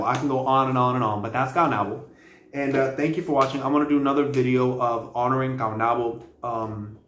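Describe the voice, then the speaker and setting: A man hosting a casual history vlog, talking in a loose, slangy way with many fillers and restarts. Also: medium pitch. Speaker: video host. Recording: roomy echo with faint background noise.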